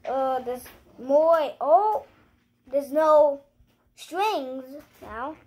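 A child with a high voice reads a picture book aloud at a slow, halting pace. The delivery is playful, with exclamations and little asides to viewers, like a young host presenting at home. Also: expressive, darkish tone. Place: living room at home, indoors.

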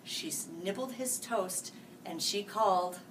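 A woman in her forties reading a picture book aloud to children in a sing-song, animated way, jumping to loud, high character lines. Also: a little slow. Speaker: school staff reading buddy. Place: small room, seated before a green-screen farm backdrop. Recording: distant mic with faint hiss.

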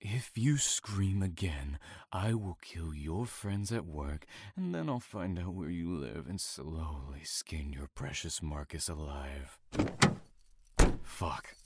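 A man playing a menacing, gloating serial killer in an audio drama, taunting and theatrical, moving between soft cruelty and explosive temper. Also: sits low, animated, slow, slightly rough, dry recording, exaggerated voice. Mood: threatening.

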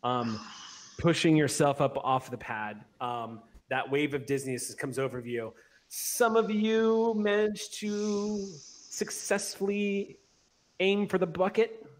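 A man's voice: a little high, slow. A man in his forties narrating a tabletop roleplay as game master, descriptive and conversational, close on a studio mic. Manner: descriptive, conversational storytelling with vivid detail. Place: small home room, video call. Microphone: close large studio microphone on an arm.

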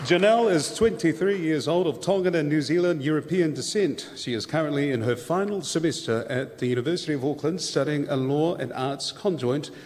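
A man's voice: a little high, darkish tone. A man hosting an awards ceremony, reading out winners and making playful jokey asides. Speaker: master of ceremonies. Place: large hall at an awards ceremony. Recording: reverberant hall with crowd and background noise.

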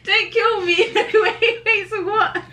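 A woman's voice: very high voice, steady tone, takes it slowly. A woman in her twenties chatting casually with a friend, playful and giggly. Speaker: friend of the vlogger. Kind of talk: casual chat. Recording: busy crowd and loud background noise.

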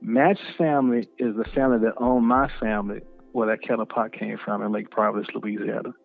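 A man's voice: lowish voice, steady tone, a little fast, vocal fry. A man recounts his family history with preacherly emphasis, building phrase by phrase. His voice sounds tinny, as if over a phone line, with faint music behind. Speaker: storyteller guest. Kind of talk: personal testimony interview.

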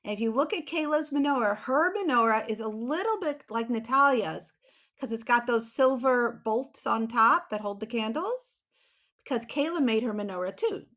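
An American woman with a fairly high voice talks to children on a video call. She explains things simply and casually, in an encouraging manner. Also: animated, normal speed, fairly bright tone. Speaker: children's author and illustrator as guest. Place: small home room with bookshelf, indoors. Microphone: computer mic on video call.

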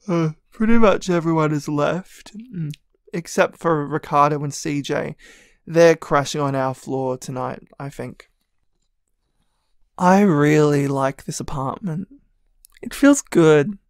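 A man voicing a sleepy, tipsy young man who leaves a gentle, drowsy voicemail thanking a friend, in an audio drama. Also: a little high, slow, no room sound, studio quality.

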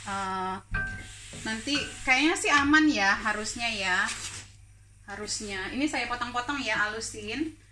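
A woman's voice: fairly high pitch, a little slow, pauses often, bright, forceful tone, faint music behind, rough recording. A woman from Indonesia hosting a live cooking stream from her home kitchen, chatting and laughing as she answers viewer questions. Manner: chatty, laughs often, code-switches into English words. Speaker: cooking show host.

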